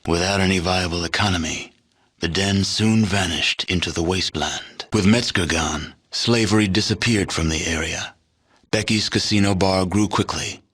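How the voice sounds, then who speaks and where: A solemn narrator for a post-apocalyptic game. His voice sits very deep and has a husky, grainy edge. He delivers scripted storytelling with grave, deliberate weight. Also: fairly bright tone.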